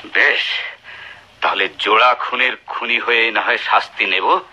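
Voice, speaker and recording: A man's voice: mid-range voice, steady tone, a little slow, pushed and bright, low-quality recording. A man acting in a radio play as a desperate, murderous husband, agitated, stammering and menacing. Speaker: radio drama actor.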